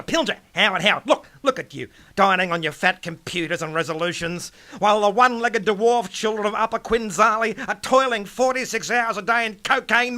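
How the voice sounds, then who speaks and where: A man's voice: high voice, pushed and bright. A man playing a pompous, bombastic United Nations leader who blusters and cuts others off in a satirical radio serial. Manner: grandiose, blustering, cutting others off.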